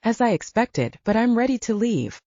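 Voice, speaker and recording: A woman narrator takes the part of an elegant, protective male bodyguard in an audiobook. The manner is assured and courteous, the voice mellow and husky, in a slightly dull recording. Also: on the high side, very animated, moderate pace, no echo, pitched above the performer's own voice. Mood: businesslike.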